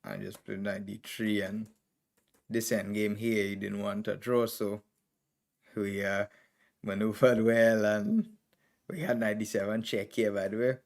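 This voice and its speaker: Chess master with a Trinidadian accent, a man with a lowish voice, thinking aloud through moves in short bursts. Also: unhurried, creaky, a little mellow. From Trinidad and Tobago. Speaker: chess master streaming game reviews. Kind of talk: live commentary on chess games.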